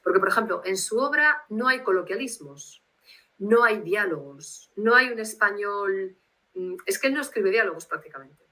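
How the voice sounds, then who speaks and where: A woman's voice: medium pitch, animated, moderate pace, bright, forceful tone, poor audio. A woman from Bilbao with a Basque Spanish accent, speaking as a guest translator on a video call in a reflective, rambling way. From Spain, Bilbao.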